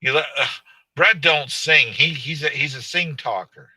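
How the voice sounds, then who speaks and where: Bright-voiced man chatting on a live stream. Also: fairly high pitch, moderate pace, slightly rough, dry recording. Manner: rambling, joking, laughs often. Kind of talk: casual live stream chat.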